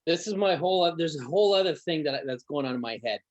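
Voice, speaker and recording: A man in a casual panel discussion, pondering out loud in a halting way. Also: fairly high pitch, lively intonation, dull audio. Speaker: cast member on a panel.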